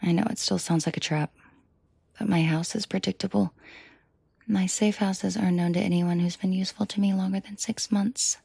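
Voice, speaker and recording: A woman playing a commanding, protective and seductive mafia boss in an intimate audio roleplay, speaking in a breathy, controlled murmur that often drops to a half-whisper. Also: medium pitch, fairly even intonation, darkish tone, no room sound. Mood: calm, reassuring. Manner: slow, deliberate, controlled murmur addressed closely to listener.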